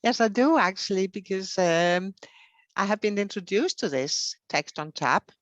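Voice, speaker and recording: A woman's voice: mid-range voice, animated, a little slow, no echo. A woman whose first language is Danish, answering interview questions fluently as a federation secretary.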